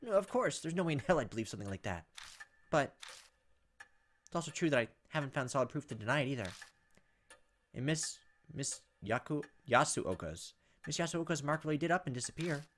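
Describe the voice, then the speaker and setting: A man's voice: fairly high pitch, sing-song, brisk, long pauses, fairly bright tone, a little hiss or hum. Man playing a brusque, skeptical woman. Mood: defensive. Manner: curt, defensive. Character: brusque skeptical woman, secretive, hiding her fear. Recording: music behind the voice.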